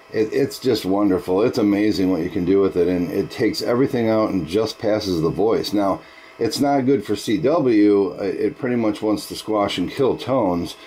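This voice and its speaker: A man with a low voice chats casually as a ham radio operator. He sounds enthusiastic and folksy, with some background noise behind him. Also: darkish tone, rough recording.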